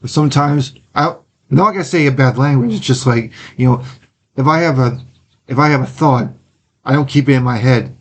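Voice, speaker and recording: A young man from Wisconsin speaks as an interview guest at a medium pitch. He talks earnestly and haltingly, stopping often to restart his sentences. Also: slight background noise. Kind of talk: casual interview talk.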